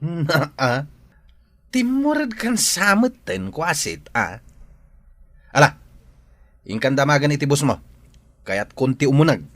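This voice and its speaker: A man's voice: a little high, very animated, slow pace, long pauses, fairly bright tone, no room sound, exaggerated voice. A man with an Ilocano accent playing a pushy, boastful troublemaker in an audio drama, taunting and insulting. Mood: impatient, threatening. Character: brash male troublemaker, mocking, pushy and boastful.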